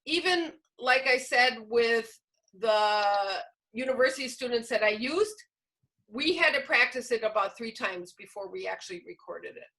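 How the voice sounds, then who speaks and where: A woman's voice: on the high side, lively intonation, normal speed, bright, forceful tone, poor audio. A woman presenting a teacher-training session, explaining informally with examples as she answers questions. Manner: explanatory, illustrates points with examples.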